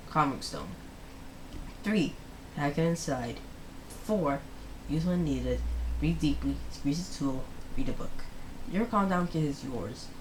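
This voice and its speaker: A woman hosting a read-aloud, reading slowly for young children in the manner of a picture book. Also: sits low, a little mellow, a little hiss or hum, rough recording.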